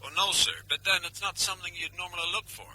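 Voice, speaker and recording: A man's voice: high-pitched, fairly even intonation, fast, crowd noise, lightly acted, low-quality recording. An actor playing a cautious, deferential technical subordinate in a radio drama, hedging as he reports. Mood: defensive, careful.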